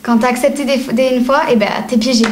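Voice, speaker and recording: A woman's voice: on the high side, steady tone, quick pace, darkish tone. A woman in a comedy sketch, agreeing in a knowing way. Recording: echoey room, faint noise.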